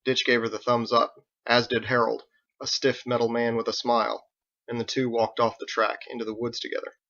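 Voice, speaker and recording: A man's voice: mid-range voice, completely flat delivery, brisk, sharp tone, muffled recording. A man reading scripted audiobook narration as the story's narrator. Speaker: author narrating his own story.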